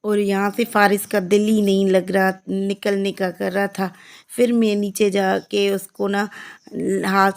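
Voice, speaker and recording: A woman with a Pakistani accent and a hoarse, heavy voice, narrating her village vlog in a chatty, repetitive way. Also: mid-range voice, level tone, measured pace. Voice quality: heavy, croaky voice. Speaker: family vlogger. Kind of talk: casual vlog voice-over.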